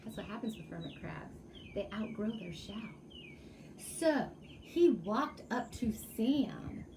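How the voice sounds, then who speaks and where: Expressive, unhurried woman reading to children. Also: medium pitch, a little hiss or hum. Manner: expressive, addresses children with questions. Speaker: children's storytime reader. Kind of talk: read-aloud storytelling with asides to children.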